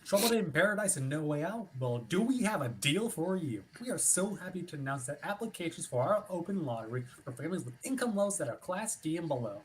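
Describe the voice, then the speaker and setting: A woman's voice: fairly low pitch, very animated, quick pace, few pauses, a little hiss or hum, rough recording. A woman playing an upbeat, cheesy radio commercial announcer with a slick, salesy delivery in a play reading. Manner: salesy, peppy.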